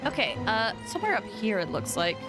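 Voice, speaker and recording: A woman livestreaming a game, casually commenting on play and chatting to viewers with rambling, expressive asides. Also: mid-range voice, fairly quick, loud music behind the voice. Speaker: game streamer.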